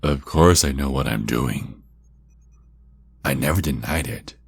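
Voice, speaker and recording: A man playing a taunting, sinister centuries-old vampire kidnapper in an ASMR roleplay, with a breathy, husky voice and slow, mocking menace. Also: rumbling low, exaggerated voice. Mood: taunting, gleeful.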